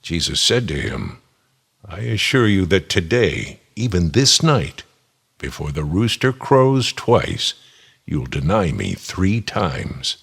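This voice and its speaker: A man with a husky, gravelly voice giving a solemn, measured dramatic reading of scripture as a voice actor. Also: sits low, sing-song, fairly bright tone.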